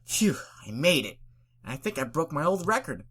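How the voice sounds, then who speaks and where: A reader voicing a brash, excitable female pegasus athlete in a loud way for a fan story. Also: mid-range voice, moderate pace, slightly rough, voice pushed up. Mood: determined.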